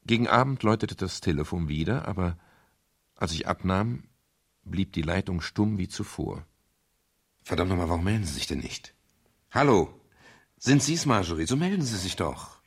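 A low, husky private detective in a seventies radio crime play. He can be curt and indignant or softly intimate, and his pitch moves freely between the two. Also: expressive, normal speed, pauses often, no echo, studio quality, full character voice. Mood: irritated, indignant. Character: brusque, irritable male private detective, tender with his lover. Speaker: actor and first-person narrator. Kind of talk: 1970s German radio crime drama acting.

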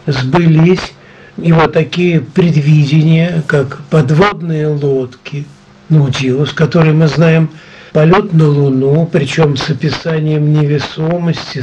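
Older man, chatty higher-pitched radio presenter. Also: steady tone, a little slow, darkish tone, a little hiss or hum, poor audio. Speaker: radio presenter introducing a radio play. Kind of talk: conversational radio introduction.

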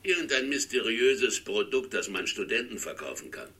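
A sceptical man giving an analyst's briefing in a radio play. His mid-pitched voice is bright and incisive. He explains briskly and contradicts bluntly. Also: expressive. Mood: analytical. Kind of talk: radio drama dialogue.

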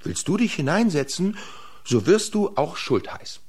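Sly peasant trickster, voice swoops all over, kinda rough, radio fairy tale. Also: male voice, on the high side, sing-song, measured pace, a little mellow, full character voice. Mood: sly coaxing. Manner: theatrical, swooping delivery.

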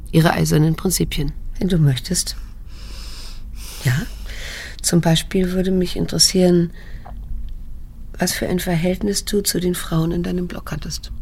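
A middle-aged daughter in a radio play. Her voice is husky with a heavy creak. She speaks in a measured, cool, introspective way, bitter and self-controlled. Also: fairly low pitch, warm tone. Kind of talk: radio drama dialogue and inner monologue.

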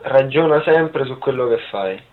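A man's voice: medium pitch, animated, a little slow. A man in his early twenties giving casual, brief answers in an interview. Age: 20s. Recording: thin, phone-like sound.

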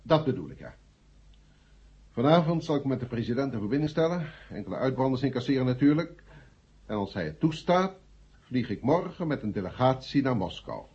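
A man in a radio play acting a decisive, authoritative council chairman with a husky voice. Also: medium pitch, sing-song, fairly quick, darkish tone, exaggerated voice. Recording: dull old radio play recording.

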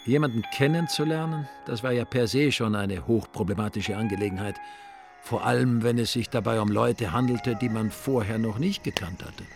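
A man's voice: medium pitch, moderate pace, a little mellow, faint music behind. A man narrating a radio drama in a dry, ironic, literary style. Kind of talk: scripted, wry literary narration.

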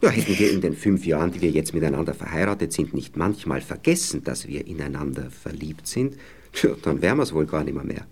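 Gravelly, very deep-voiced Austrian husband, smug and patronizing. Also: male voice, animated, brisk, a little mellow, a little hiss or hum, heavily acted. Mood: tender, musing. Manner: patronizing, hesitant, groping for words. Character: smug, moralizing husband lecturing his young wife. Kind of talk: radio play acting.

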